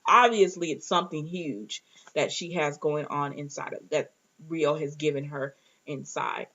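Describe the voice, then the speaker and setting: A woman's voice: deep voice, unhurried, fairly bright tone. Woman chatting casually, dull lo-fi sound. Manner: chatty, informal, reacting and retelling dialogue. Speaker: reviewer and host of a TV recap show. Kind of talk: casual recap chat with acted-out asides.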